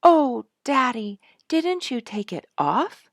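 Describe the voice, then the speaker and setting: A woman's voice: high-pitched, big pitch swings, slow, dry recording, studio quality, voice pushed up, exaggerated voice. A woman voicing a sweet, anxious young daughter in a storybook, pleading and full of concern.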